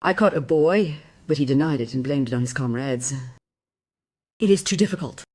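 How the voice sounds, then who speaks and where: A woman's voice: extremely low pitch, expressive, moderate pace, a little mellow. An actress playing a concerned woman, earnest and insistent as she defends someone in a crime radio play. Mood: indignant, pleading. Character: concerned, indignant woman defending an old man.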